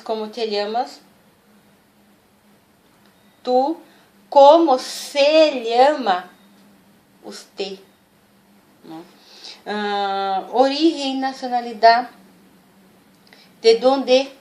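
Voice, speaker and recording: A woman's voice: fairly high pitch, a little mellow. A woman with a Brazilian accent, a native Portuguese speaker, teaching an informal language lesson, repeating and stressing key words and often checking in with short questions.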